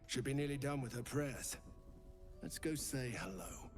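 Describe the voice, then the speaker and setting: A man acts out a treacherous soldier from a fantasy video game. He sounds smooth and conspiratorial, with menace in his mid-pitched delivery. Music plays clearly behind him. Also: animated, a little slow, lightly acted. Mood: smug, conspiratorial. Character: sly male traitor soldier serving a dark general. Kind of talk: video game cutscene acting.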